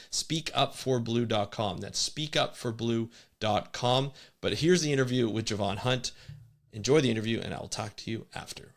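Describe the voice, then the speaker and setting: A man's voice: mid-range voice, fairly bright tone. Upbeat Canadian podcast host, man. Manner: upbeat and enthusiastic, with some repeated words. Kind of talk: scripted podcast intro and interview.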